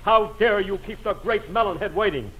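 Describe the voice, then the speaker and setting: An actor playing a pompous, flustered, scolding restaurant boss in 1940s radio comedy. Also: male voice, sits high, moderate pace, lightly acted. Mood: pompous, indignant. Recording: dull, noisy old radio broadcast.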